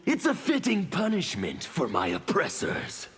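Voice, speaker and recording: An actor playing a fanatical, defiant anarchist villain in a fighting game, with a strained, theatrical delivery. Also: male voice, on the high side, wildly swooping pitch, a little slow, a bit bright, heavily acted. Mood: contemptuous. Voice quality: gravelly, strained. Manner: theatrical, declamatory. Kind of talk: fighting game character dialogue. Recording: long reverb, faint background noise.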